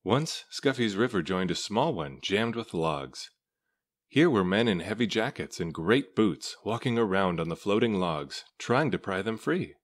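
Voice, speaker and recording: Low-voiced man reading a storybook. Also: animated, unhurried. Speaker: storybook reader. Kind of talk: read-aloud children's story narration.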